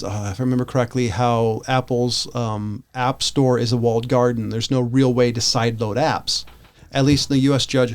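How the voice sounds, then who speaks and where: An American man co-hosting a podcast, talking casually about tech in a hesitant, rambling way that often corrects itself. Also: medium pitch. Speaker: podcast co-host.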